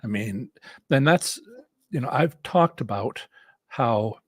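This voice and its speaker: A man with a slightly rough, husky voice, worn from talking, hosting a casual instructional live stream in a halting, rambling way with repeated restarts. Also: medium pitch, expressive, no room sound. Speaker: host and instructor of a live stream on slots strategy.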